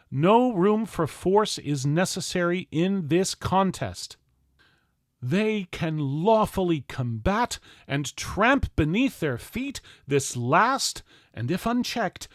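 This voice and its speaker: A man playing a 19th-century general, delivering a formal political address with loud, emphatic, solemn oratory. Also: sits high, no room sound, studio quality, voice pushed up, full character voice. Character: impassioned 19th-century general orating to the American people. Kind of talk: declamatory political oratory, formal speech reading.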